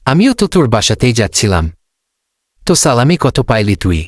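A man voicing a friendly, money-minded young boy in a children's cartoon, speaking in an animated way. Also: medium pitch, fairly quick, no room sound, studio quality. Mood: chummy.

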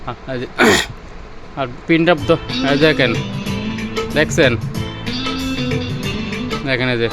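A man's voice: medium pitch, a little slow, over loud music, poor audio. A man with a Bangladeshi Bengali accent giving an informal, repetitive off-the-cuff sales demonstration, pointing things out as he goes. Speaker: seller demonstrating used car audio-video equipment.

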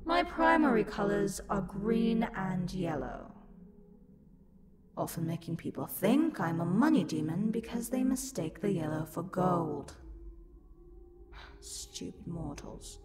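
A woman's voice: medium pitch, expressive, slow, over loud music. A woman playing an angry, haughty, sassy luck demon in a roleplay audio drama, scornful, theatrical and exasperated.

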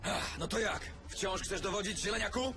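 A man playing a resolute superhero team leader in an animated dub, urgent, earnest and commanding. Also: high-pitched, moderate pace, gravelly. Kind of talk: dubbed animated superhero series acting. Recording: very loud action music behind.

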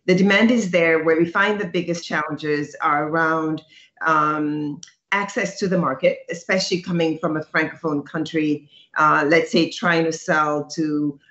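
A woman in her thirties from Senegal explaining as a panelist on a video call, halting and pausing often. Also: low-pitched, steady tone, a bit bright. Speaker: panelist, tech entrepreneur. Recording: echoey, dull video call audio.

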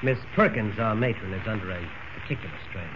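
An actor playing a strained, stern middle-aged orphanage superintendent, curt and formal, on a muffled old radio recording with hiss. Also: male voice, fairly low pitch, fast talker, lightly acted. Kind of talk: 1940s radio acting.

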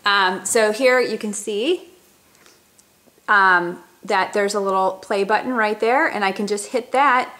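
A woman's voice: mid-range voice, a little slow, sharp tone. A woman in her thirties chatting casually to a webcam in her home kitchen, walking through a product with plenty of fillers and restarts. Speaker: company representative. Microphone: webcam at close range.

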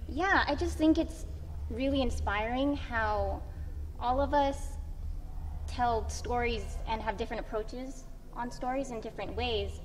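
A woman's voice: on the high side, unhurried. A young woman speaking casually as a comic artist on a convention panel. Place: convention panel stage before an audience. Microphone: table microphone on stand. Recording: reverberant hall, faint noise.

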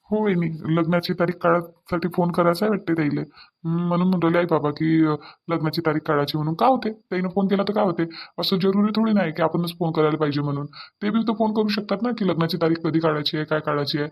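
A man's voice: on the high side, quick pace. A man playing a mild, deferential village family man in a cartoon, hesitant and repetitive, with a Varhadi Marathi accent. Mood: mildly protesting. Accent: Varhadi (Vidarbha) Marathi. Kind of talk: amateur Marathi cartoon family comedy acting.

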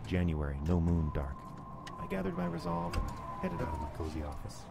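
Horror radio play narrator: reflective man recalling the past, very low voice, music underneath. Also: wildly swooping pitch, a little fast, vocal fry, heavily acted. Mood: ominous, tense. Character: reflective male storyteller, repairman recalling eerie past. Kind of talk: scripted first-person horror drama narration.

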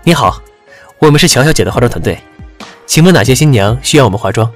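A man's voice: medium pitch, lively intonation, fast, faint music behind, close to an everyday voice. An actor playing a confident, suave young male makeup artist in an audiobook drama. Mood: smooth, assured.

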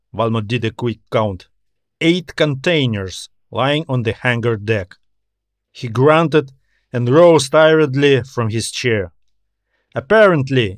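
A man's voice: mid-range voice, no echo, studio quality. A man narrating a scripted science fiction story in audiobook style. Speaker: author narrating his own story.

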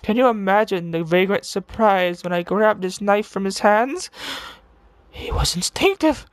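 A man voicing a deranged serial killer who confesses with morbid relish in a theatrical, brooding monologue full of dramatic pauses, in the style of a video game villain. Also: high voice, lively intonation, no echo. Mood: manic, agitated. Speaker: amateur voice impressionist.

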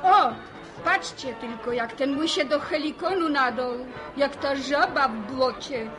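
High-voiced, needling village wife. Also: female voice, a little slow, music in the background, poor audio. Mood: amused. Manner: needling, gossipy. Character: sharp-tongued, gossipy middle-aged village wife. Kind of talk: radio drama dialogue.

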